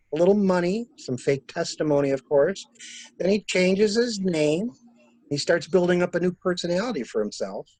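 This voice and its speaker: A man playing a calculating murderer who poses as a helpful investigator, musing theatrically in measured phrases in an amateur mystery play. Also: fairly high pitch, no room sound. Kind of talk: amateur radio-play style mystery acting.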